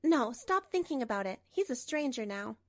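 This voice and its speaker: A woman playing a composed betrayed wife in a manga dub, narrating and speaking with measured, pointed delivery. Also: fairly high pitch, sing-song, fairly bright tone, no echo, clean studio sound. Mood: reflective. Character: betrayed wife, composed, coolly resolute, narrating her story.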